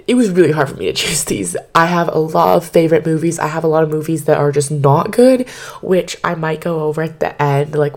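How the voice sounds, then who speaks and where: A woman hosting her podcast in casual chat, slightly hoarse from losing her voice, chatty and rambling with lots of fillers, recorded on her bed at home into a microphone. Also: sits low, a little mellow. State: slightly hoarse, partly lost voice. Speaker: podcast host.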